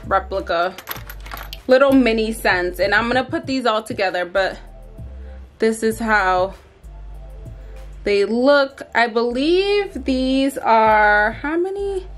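Slow-talking tired woman vlogger. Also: a little high, a bit bright. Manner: chatty, tired, many ums and likes. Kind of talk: casual unboxing vlog. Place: bedroom at home.

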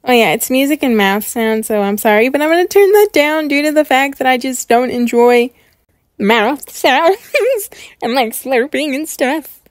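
Casting: female reaction host, high voice, excitable and gushing, chatty delivery, dry close sound. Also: a little slow, no room sound. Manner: gushing, excitable, repeats words for emphasis. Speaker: host of a reaction video series. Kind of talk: casual chatty reaction commentary.

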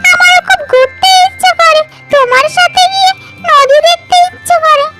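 Tiny squeaky cartoon hen. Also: female voice, normal speed, loud music behind the voice. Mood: friendly. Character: confident, clever, friendly hen. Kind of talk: children's cartoon dialogue.

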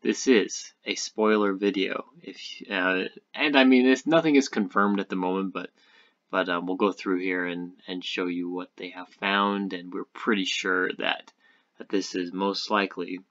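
A man's voice: low-pitched, steady tone, moderate pace, fairly bright tone, highs cut off. A man hosting a gaming video with casual, rambling news and speculation commentary. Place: indoor voice-over on screen recording.

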